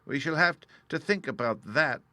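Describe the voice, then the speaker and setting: A man voicing an old humbug wizard who gently confesses he is no real magician, speaking with a confiding, sheepish manner. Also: a little high, animated, moderate pace, no room sound, pitched above the performer's own voice. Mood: confessing. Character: humble old male humbug wizard, apologetic.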